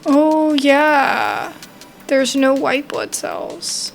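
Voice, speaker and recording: High-pitched woman gamer thinking aloud. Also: huge pitch swings, drawn-out words, creaky, soft background music. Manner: musing aloud, puzzling things out. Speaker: gamer playing a horror visual novel demo. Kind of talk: casual let's-play commentary.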